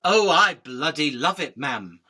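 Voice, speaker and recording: A man playing a cheeky, fast-talking fly in a radio drama, pleading and rambling, deferential but impudent. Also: on the high side, animated, pushed and bright, husky, full character voice. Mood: pleading, bargaining. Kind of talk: illustrated radio drama acting.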